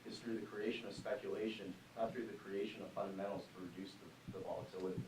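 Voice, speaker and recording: A man firing pointed, argumentative questions at a panel. He talks quickly in a fairly low voice, and he sounds far off in an echoey room with a faint hiss. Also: fairly even intonation, darkish tone. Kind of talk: panel discussion. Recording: distant, roomy mic with hiss.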